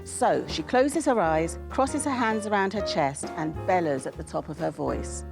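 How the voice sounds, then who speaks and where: Woman author narrator: lowish, gravelly voice, measured expressive reading, music underneath. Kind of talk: scripted talk and audiobook-style reading.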